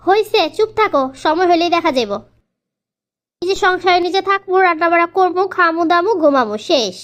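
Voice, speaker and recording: Woman voicing a very shrill, quarrelsome young wife. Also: expressive, brisk, fairly bright tone. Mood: defiant, irritated. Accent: Bangladeshi Bengali. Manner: complaining, repeats words. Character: quarrelsome, stubborn young wife and sister-in-law. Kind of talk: cartoon family comedy drama.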